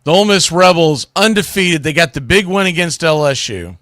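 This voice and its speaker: A man's voice: on the high side, lively intonation, brisk, a bit bright, dry recording, clean studio sound. A man hosting sports radio, giving opinionated college football commentary in a blunt, emphatic, colloquial way.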